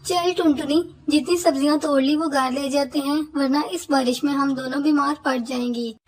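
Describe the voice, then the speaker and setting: Woman as a high-voiced bossy old bird mother-in-law. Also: steady tone, normal speed, a little mellow, soft background music. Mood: urging. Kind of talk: Hindi cartoon voice acting.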